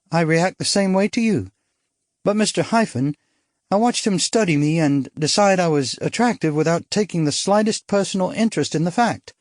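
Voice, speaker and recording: A daring young actress with an arch, theatrical wit, as a male audiobook narrator puts her on. The voice sits high and light, teasing and quick with a line. It is recorded dry and close, with no room sound. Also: darkish tone, no echo. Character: witty, daring young upper-class Englishwoman, an actress.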